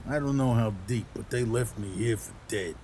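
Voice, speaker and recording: A man's voice: mid-range voice, moderate pace, mellow, slight background noise, natural voice, low-quality recording. A man playing a wounded, remorseful small-time crook in an audio play, sounding hurt and pained.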